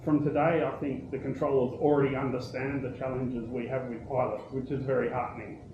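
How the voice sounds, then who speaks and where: A man speaking as a pilot, giving considered feedback in measured, formal wording. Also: mid-range voice, level tone. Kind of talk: considered feedback in a group discussion. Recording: dull, echoey, noisy room.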